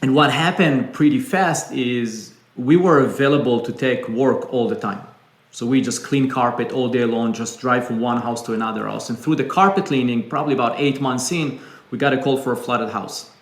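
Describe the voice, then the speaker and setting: A man from Israel with an Israeli accent, a guest telling his story in a calm, reflective way. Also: medium pitch, normal speed. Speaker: business owner guest. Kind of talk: interview storytelling. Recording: echoey room.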